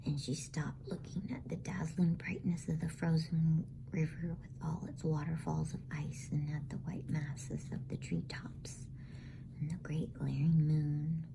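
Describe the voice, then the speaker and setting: A woman reading a bedtime story in a hushed, breathy ASMR whisper. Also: sits low. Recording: faint background noise.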